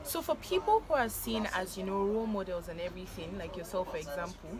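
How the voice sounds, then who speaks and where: A woman's voice: mid-range voice, quick pace. Female host, thoughtful and filler-heavy; outdoor recording with heavy crowd noise. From Nigeria. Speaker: vlog host. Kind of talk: casual interview. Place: outdoors by a busy street.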